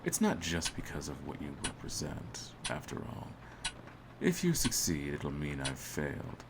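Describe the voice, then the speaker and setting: A man playing a cold, smug hitman in an audio roleplay, speaking in a theatrical, menacing and deliberate way. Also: very deep voice, huge pitch swings, gravelly, background noise, exaggerated voice. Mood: cold, composed menace. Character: cold, smug male hitman holding his target at gunpoint. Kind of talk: scripted ASMR audio roleplay.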